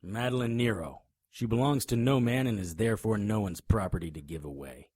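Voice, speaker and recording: An actor playing a brooding, wry investigator who narrates a noir-style audio drama in a dry, hard-boiled manner. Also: male voice, fairly low pitch, fairly quick, darkish tone, husky, no room sound. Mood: defiant. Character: brooding, wry, stubborn male supernatural investigator. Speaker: narrator and lead character.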